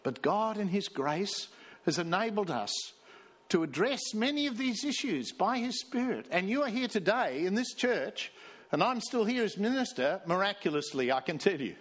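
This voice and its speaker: Man preaching, high voice. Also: sing-song, moderate pace. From Australia. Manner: storytelling, reading quotes aloud with emphasis. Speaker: pastor. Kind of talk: sermon.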